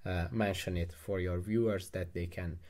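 A man's voice: sits low, steady tone, normal speed, darkish tone. A young man hosting a casual interview over a video call with earbuds in, asking probing questions. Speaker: interview host. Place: indoors, home room with gaming chair.

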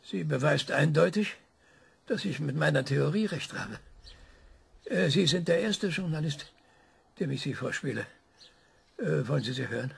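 An actor playing an obsessive older male scientist who questions an AI in a calm, measured, probing tone, in a radio play. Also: a little high, gravelly, breathy edge, in a large hall, full character voice. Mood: earnest.

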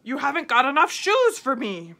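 A woman voicing a boastful, hungry male tiger in a read-aloud storybook, loud and drawn out. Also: high voice, huge pitch swings, moderate pace, full character voice.

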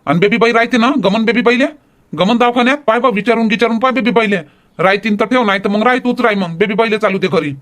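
A man's voice: high voice, fast, fairly bright tone. A man voicing a grumbling village farmer and family man in a cartoon comedy, with a rural Vidarbha Marathi accent. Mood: brisk.

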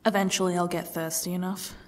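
A woman playing a sarcastic, defiant captive in an ASMR roleplay, swearing and slipping into breathy half-whispers. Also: on the low side, level tone, fast, a little mellow, close to an everyday voice. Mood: suspicious, defiant.

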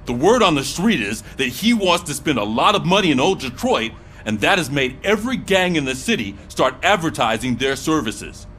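An actor playing a firm middle-aged police sergeant in a game, briefing with authority. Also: male voice, on the high side, big pitch swings, normal speed, a bit bright. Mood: businesslike, firm.

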